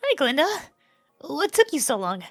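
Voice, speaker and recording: Woman playing an earnest, higher-voiced young queen. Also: big pitch swings, normal speed, dry recording, faint music behind. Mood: puzzled. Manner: hesitant, courteous, earnest. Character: dutiful young queen, earnest, polite, slightly unsure. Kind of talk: animated fan dub acting.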